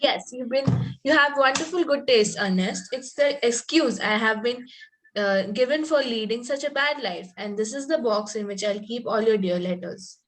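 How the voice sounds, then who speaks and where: A woman's voice: on the high side, normal speed, natural voice, low-quality recording. A woman reading the part of a fanciful, coy Victorian young lady in an amateur table read, prim and earnest, with occasional stumbles. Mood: coy, sentimental.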